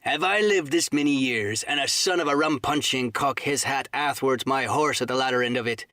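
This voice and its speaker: A man voicing a cunning, commanding old pirate sea cook who drawls threats in rough seafaring dialect, as in an audiobook. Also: medium pitch, animated, a little slow, bright, forceful tone, no room sound, clean studio sound. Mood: roaring defiance. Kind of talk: dramatised audiobook reading.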